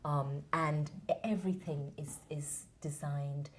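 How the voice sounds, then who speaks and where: Earnest woman, low warm voice. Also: steady tone, slight background noise. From India. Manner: earnest, measured, passionate. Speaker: interview guest, founder of a theatre academy. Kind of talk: reflective interview answers.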